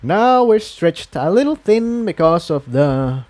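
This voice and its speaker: A man voicing a busy, courteous, absent-minded male pony townsman in a storybook, with a friendly, hurried manner. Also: a little high, big pitch swings, a little mellow, voice pushed up. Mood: apologetic, helpful.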